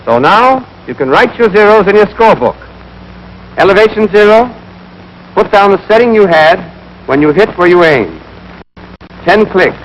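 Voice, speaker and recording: A man's voice: sits high, very animated, moderate pace, pauses often, muffled recording. A young American man giving scripted, clipped, step-by-step marksmanship instruction as an army instructor in a 1940s training film. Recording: noisy 1940s film soundtrack.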